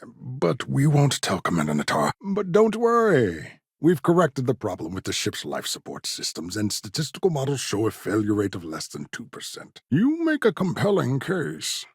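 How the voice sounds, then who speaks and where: A man's voice: mid-range voice, huge pitch swings, moderate pace, hardly any pauses, breathy edge, no room sound, clean studio sound, heavily acted. An actor playing an eccentric, condescending but eager male scientist in a space video game, speaking in a fussy, wry, pedantic way. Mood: wry, explaining. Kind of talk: video game character dialogue.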